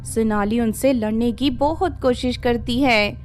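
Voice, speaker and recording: Slow, very high-voiced woman storyteller. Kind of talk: scripted cartoon story narration.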